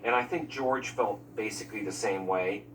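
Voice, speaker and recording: A man's voice: on the low side, moderate pace, far from the mic, a little hiss or hum. A man giving candid, opinionated commentary on film editing in a behind-the-scenes interview. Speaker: film crew member discussing editing.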